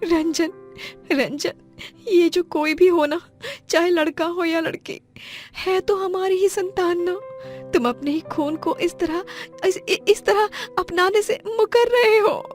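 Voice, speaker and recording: A woman's voice: very high-pitched, slow pace, faint music behind, voice pushed up. A woman voicing a wronged pregnant young wife in a moral story, emotional and determined, with dramatic delivery. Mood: tearful pleading.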